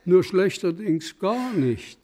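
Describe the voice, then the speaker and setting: An actor playing a dry, sceptical gentleman of a period salon in a German radio play, speaking in a deliberate, ironic, old-fashioned way. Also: high voice, big pitch swings, full character voice. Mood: wry.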